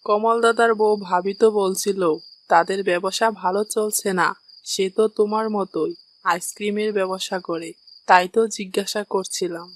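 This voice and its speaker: A woman's voice: mid-range voice, steady tone, moderate pace, natural voice. A woman voicing a shopkeeper's questioning wife in a cartoon story. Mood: surprised, curious. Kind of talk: Bengali cartoon dialogue.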